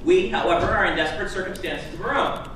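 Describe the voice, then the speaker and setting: A man's voice: high-pitched, quick pace, a bit bright, recorded from a distance. In a very echoey, noisy room, a stern young noblewoman voiced by a man reading a story speaks formally. She is exacting and humorless, laying out terms in a clipped, businesslike way. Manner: formal, clipped, matter-of-fact. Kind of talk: live book reading.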